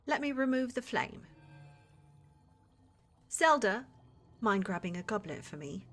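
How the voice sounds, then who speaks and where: Woman acting a flirty, scheming witch, very lively. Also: mid-range voice, a little slow, faint music behind. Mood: gloating, teasing. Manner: teasing, coaxing, sugary endearments with theatrical menace. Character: flirty, scheming witch who runs a potion shop. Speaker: voice actor in audio roleplay. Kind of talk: cinematic ASMR fantasy roleplay.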